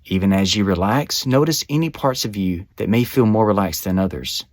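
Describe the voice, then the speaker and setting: Low-pitched man guiding a meditation. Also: no echo, studio quality. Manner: measured, instructional, soothing phrasing. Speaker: meditation guide. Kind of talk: scripted guided meditation narration.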